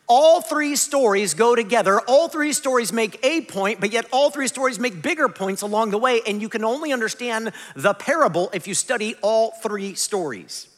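Guy in his 40s preaching, lively up-and-down voice, joking at himself, echoey church hall. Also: high voice. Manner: animated, self-deprecating, conversational preaching. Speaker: pastor. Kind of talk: sermon. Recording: long hall reverb.

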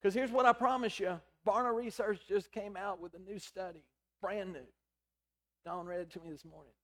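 Hoarse middle-aged pastor preaching. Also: male voice, sits high. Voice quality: hoarse, raspy voice. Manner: conversational storytelling with quoted dialogue. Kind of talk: sermon.

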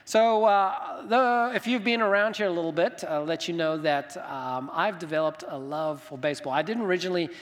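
High-pitched male preacher in a reverberant hall. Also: normal speed, hardly any pauses. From Zimbabwe. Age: middle-aged. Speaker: senior minister preaching. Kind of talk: conversational sermon to a congregation. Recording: long reverberant hall sound.

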